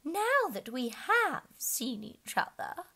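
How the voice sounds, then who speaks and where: A woman's voice: very animated, slow, sharp tone, heavily acted. A woman squealing as an eager, boastful unicorn. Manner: shrill, eager, squealing cries. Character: excitable boastful male unicorn creature.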